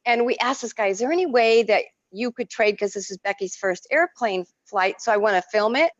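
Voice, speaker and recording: A woman whose first language is French, an artist telling stories in an effusive, expressive way as an interview guest. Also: fairly high pitch, fairly quick, no echo, highs cut off. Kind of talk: casual interview.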